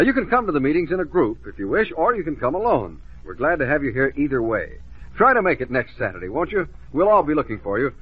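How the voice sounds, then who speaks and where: A man's voice: a little high, husky. An American man announcing on a 1950s children's radio show with inviting patter. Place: broadcast studio. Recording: muffled, band-limited 1950s radio recording.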